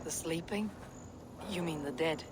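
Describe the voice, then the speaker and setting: A woman's voice: low voice, unhurried, background noise. An actress playing a fearless, stoic Dane warrior woman, terse and dryly skeptical. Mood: probing, guarded. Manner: terse, dry, guarded. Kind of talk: video game character acting.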